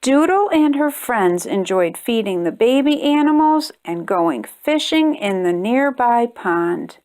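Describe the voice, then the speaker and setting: Lady reading a kids picture book out loud, really slow, super animated up and down. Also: on the high side. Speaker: storyteller reading a children's book. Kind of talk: read-aloud storybook narration for young children.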